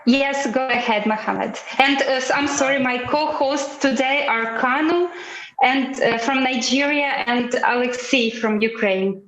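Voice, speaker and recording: Female co-host, slightly high, hesitant friendly delivery, echoey room. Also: unhurried, a bit bright. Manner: friendly, hesitant with many fillers. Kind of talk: live broadcast hosting.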